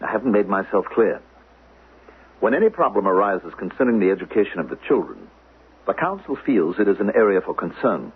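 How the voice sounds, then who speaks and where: An actor playing an officious, probing teacher and suspected spy in a 1970s radio drama, formal and needling, with a husky voice. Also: male voice, fairly low pitch, big pitch swings, fairly quick, full character voice. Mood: insinuating, probing. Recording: narrow, phone-like old radio sound.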